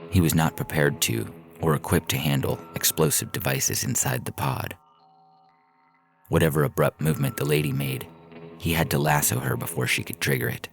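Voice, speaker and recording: A man's voice: very deep voice, sing-song, normal speed, gravelly, faint music behind. A man reading a science fiction story as narrator in a scripted audio fiction style.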